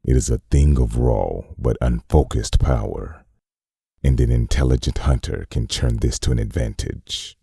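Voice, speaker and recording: A man performing a grave, clinical narrator who reads a demon-hunting manual in a measured, formal, gravelly voice, as scripted comic-dub narration. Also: very deep voice, warm tone, no echo, studio quality, full character voice. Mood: cold, instructive.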